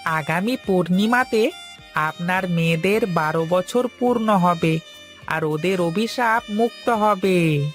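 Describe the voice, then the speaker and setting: A voice actor playing a deferential servant in an animated moral story, speaking in a measured way. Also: medium pitch, soft background music. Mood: deferential, earnest. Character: Ramu, a deferential servant bearing news.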